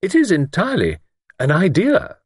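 A genteel elderly spinster, acted by a male narrator, in a high, lilting voice. She is prim and proper, anxious and hesitant, choosing her formal words with care, as in a storybook. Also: huge pitch swings, moderate pace, breathy edge, no echo, studio quality, exaggerated voice. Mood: anxious, earnest. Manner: prim, careful, formal phrasing. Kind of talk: audiobook character voice.

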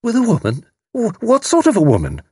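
A male narrator puts on a distraught young mother in an audiobook. The voice is lifted high and swoops about in breathless, wailing panic. It is weepy and a little husky, recorded dry. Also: lively intonation, fairly quick, dry recording, full character voice. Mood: eager, alarmed. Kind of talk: audiobook character voice.

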